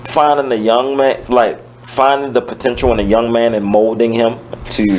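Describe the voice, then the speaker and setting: A man's voice: medium pitch, little pitch movement, moderate pace, a little hiss or hum, low-quality recording. A man hosting a talk radio show, asking curious, teasing questions in a laid-back way. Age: older than 26. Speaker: host.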